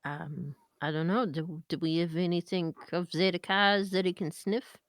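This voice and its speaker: A woman's voice: on the low side, fairly even intonation, moderate pace, dry recording, studio quality. A woman chatting casually as a player in a tabletop game.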